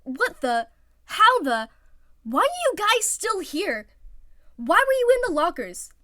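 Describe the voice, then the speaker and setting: A woman's voice: very high voice, wildly swooping pitch, slow, sharp tone, voice pushed up, heavily acted. Woman doing a grumpy, blunt teenage boy athlete. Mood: flustered, angry. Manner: blunt, indignant.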